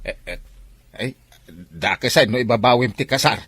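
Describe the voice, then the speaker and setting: An actor playing an impatient, exclamatory adult son in a radio family melodrama. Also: male voice, mid-range voice, moderate pace, close to an everyday voice. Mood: agitated. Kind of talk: radio drama acting. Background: dramatic music.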